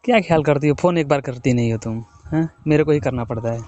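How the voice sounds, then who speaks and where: A man's voice: mid-range voice, fast talker, dark tone, highs cut off. A young man from the of India, chatting casually with his wife, teasing and coaxing. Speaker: husband working away from home. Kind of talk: casual phone chat.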